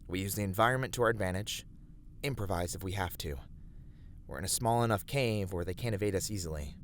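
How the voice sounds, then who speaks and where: A man playing a steady, righteous fantasy adventurer in an ASMR roleplay, speaking to a companion in measured, slightly formal, encouraging words. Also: low voice, background noise, lightly acted. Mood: calm, trusting. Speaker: voice actor in a roleplay. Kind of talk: scripted ASMR fantasy roleplay addressed to the listener.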